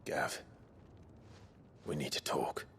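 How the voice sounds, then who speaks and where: An actor playing a grim, weary warrior prince in a video game, with a British accent and a grave, measured, breathy delivery. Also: male voice, a bit bright, a little hiss or hum. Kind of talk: dramatic video game acting.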